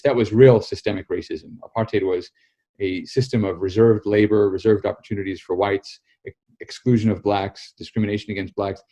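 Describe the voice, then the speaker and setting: A man with an American accent, born in South Africa, answering interview questions in a measured, explanatory way. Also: lowish voice, dark tone. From South Africa, raised in the United States. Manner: measured, explanatory, building long arguments. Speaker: guest, editor and author. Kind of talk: political podcast interview.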